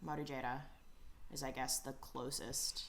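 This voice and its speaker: A woman co-hosting a casual anime podcast, talking in animated bursts, laughing and trailing off into asides. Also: rumbling low, unhurried. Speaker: podcast co-host.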